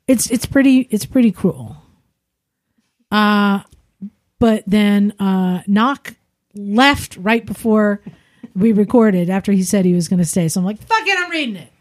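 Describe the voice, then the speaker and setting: A woman's voice: mid-range voice, lively intonation, unhurried, pauses often, darkish tone. A woman hosting a podcast, reading listener emails aloud in a chatty way and laughing often. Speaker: podcast host.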